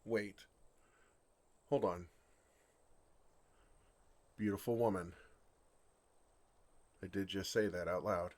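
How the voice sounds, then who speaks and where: A man acts out an ancient male dragon for an ASMR fantasy roleplay. The voice sits fairly low and moves very slowly, with halting pauses. He comes across as gentle, earnest and bewildered, a flustered creature oblivious for all his years. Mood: embarrassed.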